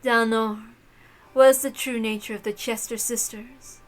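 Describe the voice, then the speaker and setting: A woman's voice: a little high, fairly even intonation, measured pace, soft background music, lightly acted. A woman voicing a cruel, mocking aristocratic witch lady with theatrical, taunting delivery in a visual novel read-aloud. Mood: cruelly amused.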